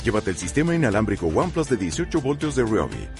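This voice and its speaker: A man's voice: on the low side, big pitch swings, quick pace. A man reading a scripted radio commercial in a punchy, salesy way. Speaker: ad announcer. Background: music.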